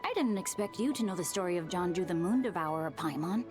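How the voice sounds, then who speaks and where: A woman voicing a composed, elegant, curious woman in a video game, with measured speech. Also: fairly low pitch, expressive, over music, close to an everyday voice. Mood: pensive. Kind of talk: video game character acting.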